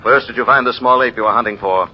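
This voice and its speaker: An actor playing an invisible, commanding vigilante interrogator in an old-time radio drama, accusing and clipped. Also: male voice, medium pitch, quick pace, close to an everyday voice. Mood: cool, probing. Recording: muffled, noisy vintage radio recording.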